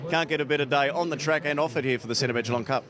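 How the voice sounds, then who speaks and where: A man's voice: fairly high pitch, racing. An Australian man giving an upbeat promotional interview as a sponsor's representative. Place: outdoors at a racecourse. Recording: outdoor event with crowd noise.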